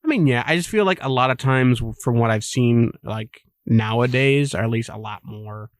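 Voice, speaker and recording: A man's voice: medium pitch, moderate pace, no room sound, studio quality. A young man co-hosting a podcast in casual chat, rambling with many fillers and laughing often. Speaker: podcast co-host.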